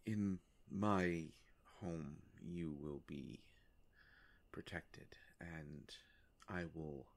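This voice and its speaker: A man playing a courteous, ancient vampire in a roleplay audio drama, speaking in a halting, formal, soft-spoken way. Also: a bass voice, gravelly, full character voice. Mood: solemn, wry. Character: courteous, ancient male vampire, gentle and hesitant.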